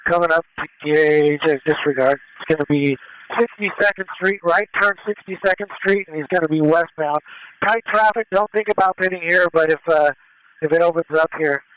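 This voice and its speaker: A man calling a police pursuit over the radio, directing units in a measured, matter-of-fact way. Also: on the high side, fairly bright tone, a little hiss or hum, rough recording. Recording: live police scanner radio transmission.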